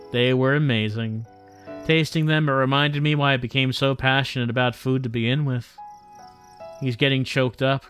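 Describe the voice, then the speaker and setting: A man live-streaming a visual novel, reading the text aloud with wry, deadpan asides. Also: mid-range voice.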